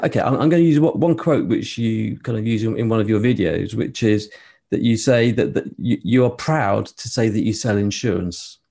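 A man's voice: on the low side, normal speed, gravelly, warm tone. A man interviewing a guest, asking questions with stammered restarts and polite agreement.

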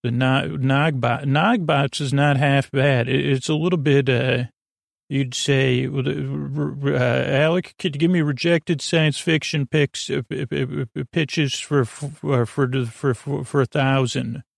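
Creaky-voiced man rambling a bedtime podcast. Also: mid-range voice, fairly even intonation, no echo, clean studio sound. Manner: meandering, halting, stammering, tangential. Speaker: podcast host. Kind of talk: rambling bedtime monologue to help listeners sleep.

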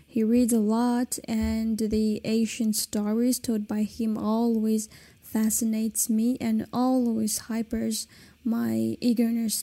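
Soft, dark-voiced woman hosting a podcast. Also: a little high. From China. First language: Kazakh. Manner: hesitant, with many fillers and restarts. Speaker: podcast host. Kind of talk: casual solo podcast monologue.

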